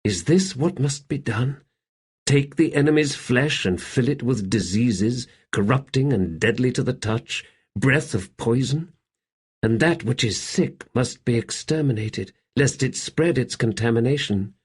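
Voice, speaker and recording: A man's voice: medium pitch, measured pace, husky, voice pushed up. Man voicing an anguished woman warrior. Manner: anguished, questioning inner voice. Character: grieving woman soldier, shaken and bitter. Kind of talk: audiobook character voice.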